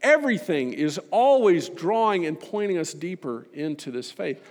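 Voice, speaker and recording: A man delivering a sermon to a congregation as a preacher, emphatic and rhetorical, building points through repetition and pointed pauses. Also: on the high side, big pitch swings. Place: large reverberant hall. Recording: long hall reverb.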